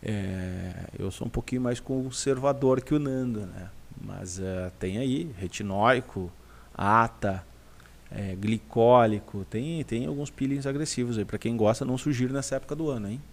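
A man's voice: mid-range voice, moderate pace. A man with a southern Brazilian accent answering questions in casual, colloquial talk full of question tags, as a podcast co-host. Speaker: podcast co-host and aesthetics teacher.

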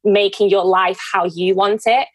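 A woman hosts a casual podcast conversation at a medium pitch. She is effusive and encouraging with her guest. The sound is dry, with very little room around the voice. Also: steady tone, measured pace, no room sound. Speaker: podcast host.

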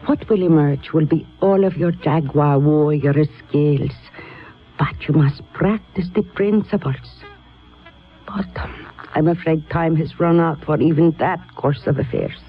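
A woman's voice: low voice, a little slow, husky, faint music behind, heavily acted. A stern old sorceress from a 1970s radio drama. She is crafty and teasing, delivering each phrase with grand deliberation. The sound is dull, vintage radio. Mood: grave, ominous. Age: older.

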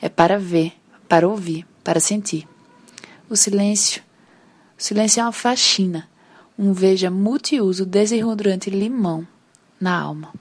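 A woman reads a poem slowly, her breathy, slightly husky voice soft and even. Some phrases fall into a near whisper. The delivery is an unhurried recitation. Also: medium pitch. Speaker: poetry reader. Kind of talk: recorded poetry recitation.

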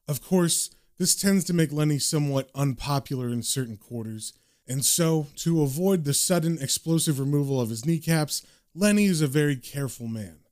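A man's voice: fairly high pitch, lively intonation, moderate pace, a little mellow, lightly acted. A man reading as a comic book's caption narrator, dry, knowing and slangy in British idiom. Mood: wry.

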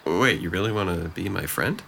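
A man acting a depressed, sardonic young man in an audio drama, with a gravelly voice and a dry, hesitant, self-deprecating manner. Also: low voice, lively intonation, normal speed, sharp tone, full character voice. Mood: guarded, sardonic. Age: young adult.

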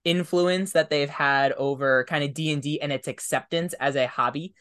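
A man's voice: fairly high pitch, fairly even intonation, moderate pace, fairly bright tone, smooth. A man hosting a podcast in casual interview chat, speaking in a conversational, affirming way. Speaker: podcast host.

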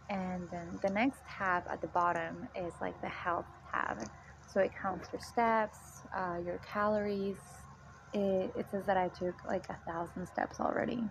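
A woman of twenty-six from Mexico, talking casually and chattily with lots of "like", as in an audio diary. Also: on the low side. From Mexico, moved to Texas at fourteen. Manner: chatty, frequent "like" as a filler. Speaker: guest product tester. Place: apartment. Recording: dull, noisy home self-recording.

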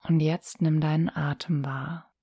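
A woman leads a guided relaxation in a low, even voice that barely moves in pitch. Her delivery is soft, breathy and soothing, with calm instructions and long pauses. The sound is very dry and close. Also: no room sound. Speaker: yoga nidra guide.

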